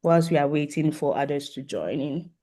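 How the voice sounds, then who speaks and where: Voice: medium pitch, expressive, normal speed, warm tone. A host informally moderating an online meeting over a video call, politely inviting participants to introduce themselves. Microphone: video call microphone.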